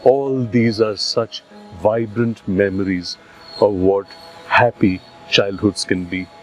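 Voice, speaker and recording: A man with an Indian accent hosting a series, speaking to his audience in a deliberate, emphatic storyteller's delivery. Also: lowish voice, fairly even intonation. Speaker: series host and presenter. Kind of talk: scripted presentation to an audience. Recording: soft music behind. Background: soft plucked guitar music.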